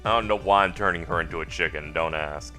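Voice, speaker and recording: Low-voiced man doing casual game commentary. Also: a little fast, pushed and bright, soft background music. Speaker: let's play host.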